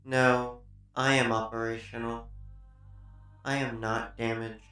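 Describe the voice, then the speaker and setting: A man's voice: medium pitch, level tone, slow, pushed and bright. Man acting a muttering young tech-priest, distant and echoing. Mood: stunned, flat. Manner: muttering, clipped, detached. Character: very young male tech-priest acolyte, stunned, stiffly literal. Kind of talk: dramatic audiodrama dialogue. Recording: roomy, distant voice with music underneath.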